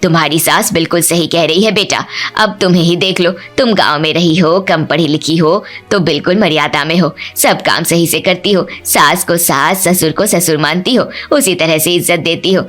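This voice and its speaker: A woman's voice: lowish voice, normal speed, a bit bright, faint music behind, voice pushed down. A woman voicing an opinionated, complaining older mother-in-law in a cartoon family story. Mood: judgemental, approving. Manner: grumbling, opinionated.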